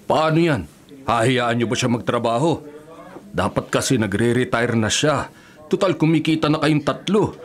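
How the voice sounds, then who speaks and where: A man's voice: mid-range voice, lively intonation, moderate pace, lightly acted. A Filipino man acting in a radio drama as a protective older uncle, reproachful and concerned in manner. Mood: scolding. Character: protective, scolding older uncle of grown siblings.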